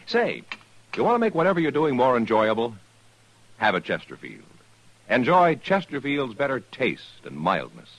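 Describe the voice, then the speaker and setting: Male announcer, American, mid pitch, swooping emphatic sales delivery, slightly rough voice, vintage radio ad. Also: wildly swooping pitch, moderate pace, a bit bright, dull audio. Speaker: commercial announcer. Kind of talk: 1950s radio advertisement, scripted pitch. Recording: vintage 1950s radio recording.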